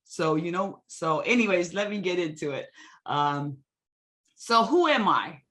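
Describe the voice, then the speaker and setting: A woman's voice: on the low side, lively intonation, a little slow, a bit bright. Eager middle-aged woman from Hawaii presenting. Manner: enthusiastic, many restarts. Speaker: guest speaker. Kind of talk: webinar presentation. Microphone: video call.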